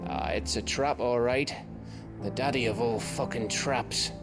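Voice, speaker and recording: An actor playing a gruff, hard-bitten but loyal fighter in a video game, with a blunt, earthy manner. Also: male voice, mid-range voice, unhurried, loud music behind the voice. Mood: wry, grim. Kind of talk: dramatic video game cutscene acting.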